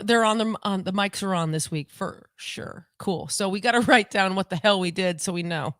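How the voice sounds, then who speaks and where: Woman, casual co-host, rambly and repetitive, mid pitch, dry desk-mic sound. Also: fairly bright tone, no echo. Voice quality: voice lower than usual today. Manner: rambling, chatty, repeats herself. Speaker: podcast co-host. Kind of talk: casual livestream chat. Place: home.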